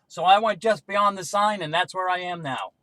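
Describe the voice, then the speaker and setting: A man's voice: high voice, normal speed, pushed and bright, rough recording. A man talking casually as a CB radio operator, making brief two-way range-check calls.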